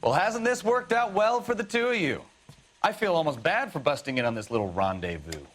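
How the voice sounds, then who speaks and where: An actor playing a cynical, sardonic 1940s American police detective in a video game, wry and slangy. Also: male voice, on the high side, big pitch swings, fairly quick, a bit bright. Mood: sarcastic. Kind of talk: dramatic video game acting.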